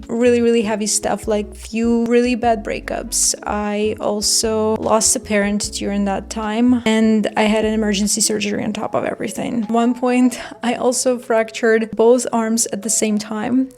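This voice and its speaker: Soft-spoken woman, flat delivery. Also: fairly high pitch. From Ukraine. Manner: soft, candid, confessional. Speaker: vlogger. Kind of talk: personal reflective storytelling.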